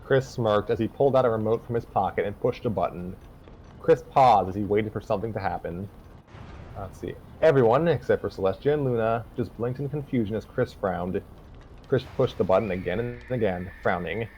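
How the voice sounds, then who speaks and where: A man reading a fan story aloud as a narrator, haltingly, stopping to correct his misreadings. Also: lowish voice, a little mellow, lo-fi sound, a little hiss or hum. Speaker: story reader. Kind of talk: scripted narration read aloud from fan fiction, with stumbles.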